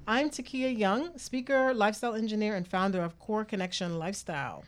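Woman, radio host, casual chat, mid-range pitch. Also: brisk, very noisy.

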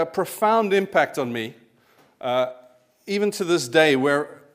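South African man preaching in a chatty tone. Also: fairly high pitch. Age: 40s. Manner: conversational storytelling with asides and self-deprecating humour. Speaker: pastor. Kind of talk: sermon.

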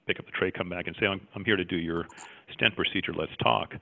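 A man's voice: low-pitched, steady tone, quick pace, vocal fry. A middle-aged male cardiologist from Jamaica, raised in Canada, answering interview questions thoughtfully, with many fillers and restarts. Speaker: cardiologist guest. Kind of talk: interview conversation. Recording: tinny phone-like line.

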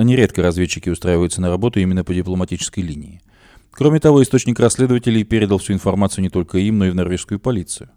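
Low, dark-voiced male newsreader. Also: dry recording, studio quality. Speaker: news presenter. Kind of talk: read news report.

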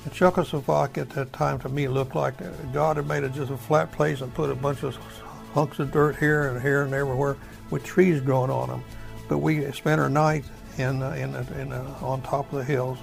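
An elderly American man recounts his army days. His voice is deeply gravelly and creaky, at an average pitch. He rambles in a plain folksy way, feeling for words, with soft music underneath. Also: steady tone, moderate pace, low-quality recording. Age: older, over 70. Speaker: war veteran. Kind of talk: oral history storytelling.

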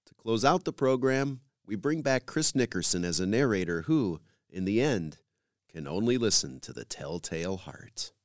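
A man presents a scripted podcast in a measured announcer style. His voice sits a little low and moves up and down with lively intonation. The sound is dry and close, free of room echo. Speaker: podcast host. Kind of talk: scripted podcast host narration.

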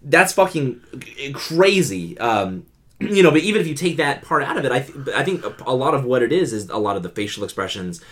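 Dude rattling off fast film talk, cussing a lot, middle-pitched. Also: male voice, big pitch swings, a bit bright. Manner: fast, swears a lot, laughs easily. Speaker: podcast co-host. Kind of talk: casual chat.

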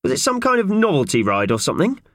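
A man's voice: high voice, wildly swooping pitch, quick pace, a bit bright, dry recording, studio quality, voice pushed up, heavily acted. A man voicing an exasperated, bossy, flustered young male in a children's audiobook, impatient and insistent. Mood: exasperated, puzzled.

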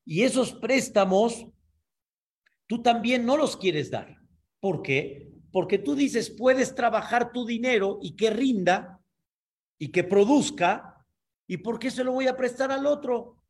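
High-voiced man teaching a class. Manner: explanatory, checks understanding with frequent asides. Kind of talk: Torah class lecture.

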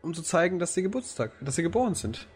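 A man's voice: a little high, sing-song, fast talker, slightly rough. A man chatting in a casual, colloquial style as a co-host in a group podcast.

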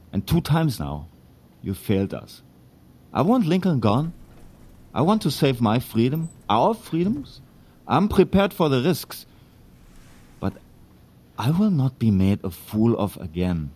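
An actor playing a wry, hard-drinking Prussian immigrant with a German accent in a historical drama, glib and sardonic. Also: male voice, mid-range voice, darkish tone. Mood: firm, defiant.

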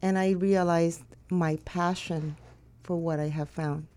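A woman from Cuba, a school owner, answering interview questions in a measured way with some hesitations. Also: on the low side, steady tone, dark tone. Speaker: school owner and director, guest.

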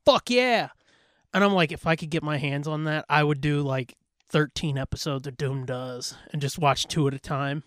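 Male podcast co-host, high voice with big swings, close dry sound, casual and sweary fan chatter. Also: normal speed, no echo. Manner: profane, animated fan talk. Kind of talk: casual pop-culture chat.

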